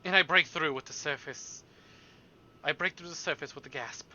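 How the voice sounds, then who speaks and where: A man's voice: a little high, moderate pace, sharp tone, dull audio. A man live streaming, reading a story aloud and adding playful, exclamatory asides to his chat. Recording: music behind the voice.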